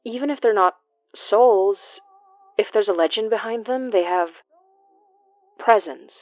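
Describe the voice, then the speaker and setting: A woman's voice: mid-range voice, a little slow, no echo, muffled recording, lightly acted. A woman acting a worried, stubborn young ghost-hunter. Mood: resigned, stubborn. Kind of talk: naturalistic audio drama acting.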